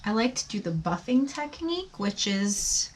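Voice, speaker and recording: A woman's voice: medium pitch, big pitch swings, moderate pace, background noise. A woman hosting a beauty channel, chatting casually to viewers in a rambling way. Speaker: beauty vlogger host.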